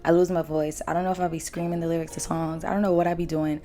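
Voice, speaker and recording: A young woman vlogger with a naturally low voice, hoarse after losing it, chatting bluntly and casually through a hair tutorial. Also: level tone, fast, mellow. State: hoarse, lost voice after drinking. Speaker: beauty vlogger. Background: faint background music.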